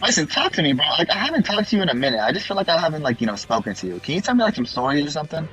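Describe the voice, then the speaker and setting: A man's voice: on the high side, very animated, racing, a bit bright, low-quality recording. A young man chatting casually and telling stories to his friends as the host of the group. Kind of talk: casual chat and storytelling among friends. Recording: music playing behind the voice. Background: music.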